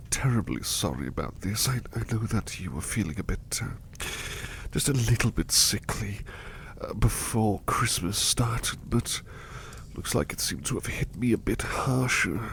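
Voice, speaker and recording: A man voicing a gentle, devoted butler in a soft ASMR roleplay, hushed, breathy, polite and hesitant, tender and apologetic. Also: on the low side, slight background noise, full character voice.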